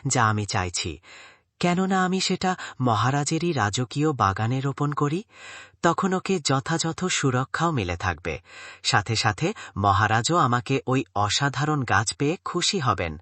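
A man's voice: medium pitch, pushed and bright, no room sound, heavily acted. A man voicing a humble, hopeful gardener in a cartoon moral tale, with an earnest, deferential way of talking.

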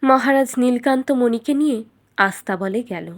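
A woman's voice: on the high side, normal speed. A woman reading scripted narration as the storyteller of a children's fairytale.

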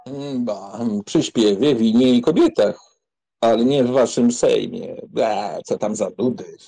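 Man playing a proud, husky, mocking young count. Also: mid-range voice, measured pace, dark tone. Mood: mocking, irritated. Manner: haughty, sardonic. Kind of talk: dramatised audiobook reading.

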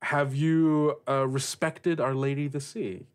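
A man acting as game master, narrating and questioning players in a tabletop role-playing game with deliberate, halting phrasing. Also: fairly high pitch, flat delivery, smooth.

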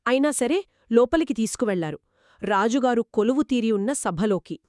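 A woman's voice: fairly high pitch, animated, moderate pace, darkish tone, no room sound, clean studio sound. A woman co-narrating a children's moral story in a conversational storytelling style. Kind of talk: conversational two-person storytelling.

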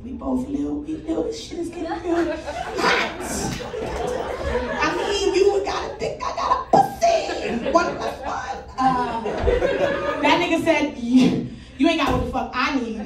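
A woman with a high voice tells brash, profane stand-up stories. She is heard from a distance in a roomy, echoey club, with a noisy crowd laughing along. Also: big pitch swings, a little slow. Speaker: stand-up comedian. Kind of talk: stand-up comedy set. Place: comedy club stage before a crowd.

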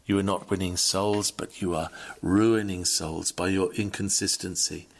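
A man reading an old Victorian sermon aloud in an earnest, measured, oratorical manner. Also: deep voice, fairly even intonation. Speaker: sermon reader.

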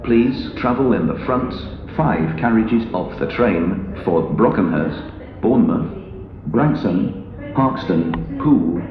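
An Englishman reading formal, measured railway station announcements over a public address system, enunciating each phrase clearly in a pieced-together, pre-recorded way. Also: fairly low pitch, lively intonation, a crowd in the background. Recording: echoey station PA, muffled, noisy.

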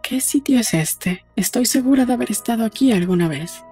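A woman playing a brisk, practical young woman in an audiobook drama, talking in a matter-of-fact, conversational way. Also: on the high side, animated, mellow, slightly rough, faint music behind. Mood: curious. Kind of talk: audiobook dialogue acting.

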